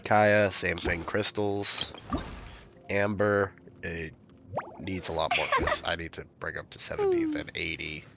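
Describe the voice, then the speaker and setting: A male streamer with a stuffy nose, thinking aloud in relaxed gameplay commentary, with game music behind him. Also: low-pitched, measured pace, vocal fry, heavy background noise.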